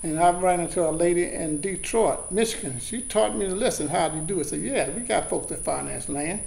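A farmer telling a story in a colloquial, animated way, repeating his points. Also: high-pitched, a little fast, gravelly, reverberant. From Louisiana. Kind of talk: casual storytelling.

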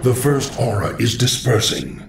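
An actor playing a servile, sinister undead lich sorcerer in a video game, solemn and hollow-voiced, with an echoing effect. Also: male voice, medium pitch, big pitch swings, a little slow, gravelly, background noise, full character voice. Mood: solemn counsel. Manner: solemn, reverent. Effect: echoing reverb. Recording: echoing, distant, loud music behind.

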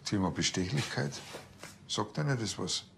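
An actor playing a cheeky, slightly naive police officer with a Bavarian accent in a TV crime comedy. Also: male voice, lowish voice, lively intonation, normal speed, creaky. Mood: cheeky, surprised.

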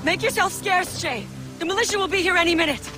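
A woman's voice: extremely high pitch, quick pace, over music. An actress playing a cool, commanding young woman conspirator in a game cutscene. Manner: crisp and commanding. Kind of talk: video game cutscene acting.

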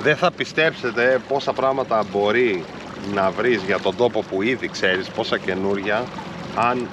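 Man, 30s, lowish voice, calm fishing vlogger talking to an action camera on a small boat at sea, clear water and motor noise under him. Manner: calm, explanatory, talks while fishing. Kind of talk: casual on-boat commentary to viewers. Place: small inflatable boat on open sea, outdoors. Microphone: action camera mounted on boat.

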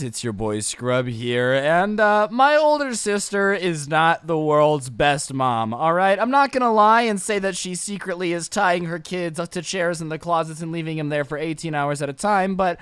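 A man's voice: a little high, a bit bright, smooth, no echo. A young man with a cold, narrating a comedic storytime with sarcastic, rambling asides. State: sick with a cold.

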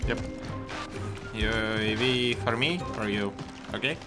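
Pemain game pria beraksen Rusia. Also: male voice, fairly low pitch, slow, faint music behind. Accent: Russian. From Russia. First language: Russian. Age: 20s. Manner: short broken English phrases. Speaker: streamer. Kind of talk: casual gaming chat.

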